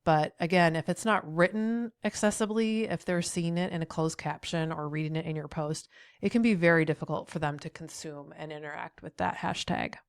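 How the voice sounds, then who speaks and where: Low-voiced middle-aged woman with a cold. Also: steady tone, normal speed, creaky, dry recording, studio quality. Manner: encouraging, speaks straight to the viewer with frequent "right?". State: head cold, a bit nasal. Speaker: accessibility trainer. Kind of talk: tutorial video.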